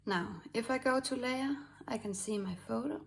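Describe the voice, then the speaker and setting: A woman from Denmark with a Danish accent, hosting a drawing tutorial in a halting way with many fillers and soft asides. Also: on the high side, creaky. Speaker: art tutorial host. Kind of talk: screen-recorded drawing tutorial.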